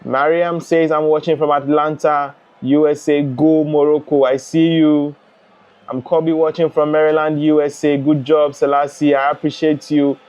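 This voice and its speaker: A young man from Ghana hosting a live football watch-along, chatting and reading out viewer comments in a relaxed way. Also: on the high side, moderate pace, muffled recording. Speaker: live stream host.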